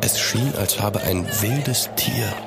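An actor in a German horror radio play as a sceptical gentleman traveller, measured and grave. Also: on the low side, creaky, over loud music. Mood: grave narration. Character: sceptical gentleman traveller recounting a dark tale. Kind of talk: scripted first-person radio drama narration.

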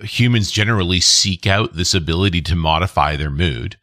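A man's voice: sits low, fairly quick, bright, forceful tone, no echo, clean studio sound. A man interviewing as a podcast host in a reflective, conversational way.

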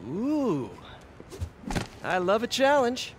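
Lively, wisecracking young male superhero. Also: very high voice, very slow, noisy background, heavily acted. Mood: playful, confident. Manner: quippy, playful. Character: wisecracking young masked superhero, upbeat and friendly. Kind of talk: video game voice acting.

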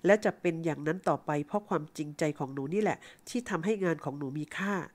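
A woman voicing a kindly, patient old rich man who gently gives advice in an audiobook reading. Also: deep voice, fast talker. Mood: earnest. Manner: gentle, earnest advice-giving.

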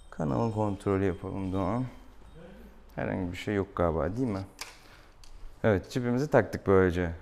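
Young man, deepish gravelly voice, casual vet talk, playful pet coaxing, small exam room. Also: a little mellow. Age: young adult. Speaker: veterinarian. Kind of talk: casual chat while treating an animal. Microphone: camera a few steps away. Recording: noisy room, camera mic at distance.

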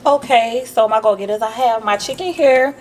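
A woman hosting a relaxed cooking tutorial in her home kitchen, chatting to her viewers with plenty of ums as she works. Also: fairly high pitch, normal speed, slight background noise. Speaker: cooking vlogger.

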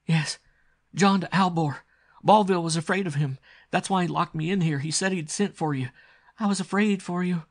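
A man's voice: high voice, moderate pace, voice pushed up. A man voicing a passionate, frightened young captive woman in an adventure story, with a pleading, melodramatic delivery. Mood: fearful.

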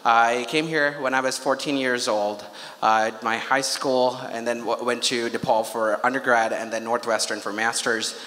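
A man's voice: medium pitch, steady tone, normal speed, sharp tone. A man hosting a charity fundraiser, addressing the audience informally through a handheld microphone and putting questions to them. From Pakistan (Karachi). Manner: conversational, engaging the audience with questions. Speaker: charity event host and fundraiser. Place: large auditorium hall on stage. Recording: echoey hall PA, long reverb.

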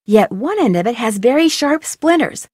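A woman's voice: fairly high pitch, expressive, moderate pace, darkish tone, breathy edge, dry recording, clean studio sound. A young woman playing a polite, inquisitive detective in a game. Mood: curious. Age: young adult. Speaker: player character in a game.

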